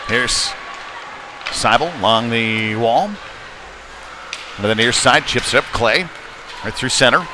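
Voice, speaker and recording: A man's voice: mid-range voice, slow, pauses often. A man doing live play-by-play hockey commentary with folksy, hesitant phrasing from inside an ice arena. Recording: live rink broadcast with loud background noise. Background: loud arena ambience.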